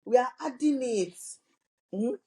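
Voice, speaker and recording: A woman's voice: on the high side, expressive, a little slow, dark tone. A woman with a Nigerian accent hosting a podcast, bantering and teasing with churchy phrases. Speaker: podcast host. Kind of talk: playful casual chat.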